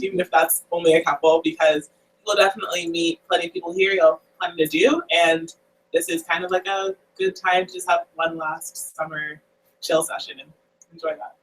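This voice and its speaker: Young woman, bright and high, relaxed chatty panelist, mic at a distance. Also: a little fast. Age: young adult. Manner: easygoing, says "like" often. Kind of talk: casual video call chat.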